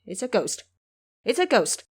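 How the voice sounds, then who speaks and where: A woman's voice: fairly high pitch, very animated, a little slow, husky, exaggerated voice. A woman doing a wary, muttering old villager. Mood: terrified. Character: frightened, suspicious old village man. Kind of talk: audio drama character acting.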